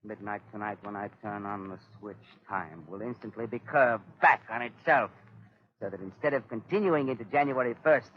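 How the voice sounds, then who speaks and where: An actor playing a dying, mad inventor who is bitter and vengeful, in a theatrical, grandiose 1930s radio drama style. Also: male voice, fairly low pitch, moderate pace, close to an everyday voice. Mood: intense, lecturing. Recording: dull vintage 1930s radio recording.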